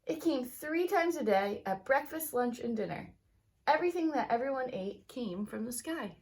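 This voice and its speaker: Higher-pitched woman reading a children's storybook aloud. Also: lively intonation, moderate pace, darkish tone. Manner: talks directly to young listeners. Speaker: teacher reading a picture book aloud to children.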